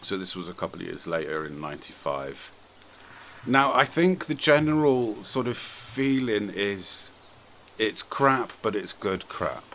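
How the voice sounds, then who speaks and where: A British man with a very deep voice chats informally as the host of a podcast. His manner is blunt and relaxed in wording, and there is some noise behind him. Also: measured pace. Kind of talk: casual podcast chat about films. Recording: noticeable background noise.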